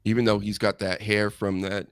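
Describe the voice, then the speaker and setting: A man's voice: on the low side, flat delivery, moderate pace, no room sound, studio quality. An American man hosting a casual wrestling podcast, joking and rambling, often laughing. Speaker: podcast host.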